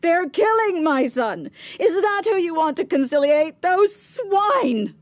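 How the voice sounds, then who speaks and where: A woman's voice: extremely high pitch, lively intonation, slow, dry recording, clean studio sound, full character voice. An actress playing a middle-aged mother in a radio drama, ruthless and scheming in her son's defence, with insistent, scornful, clipped questions. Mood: furious, bitter.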